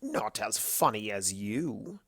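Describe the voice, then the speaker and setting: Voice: mid-range voice, big pitch swings, takes it slowly, fairly bright tone, no room sound, clean studio sound, full character voice. A narrator voicing a sly, theatrical male prankster creature of chaos in a dramatic, teasing, smug way for a cartoon fan story. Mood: mushy, teasing.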